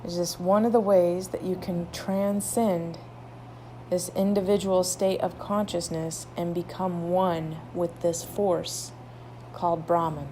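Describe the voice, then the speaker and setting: A woman leading a guided meditation, soothing, with drawn-out phrases and long pauses between them. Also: fairly low pitch, flat delivery, warm tone, a little hiss or hum, rough recording.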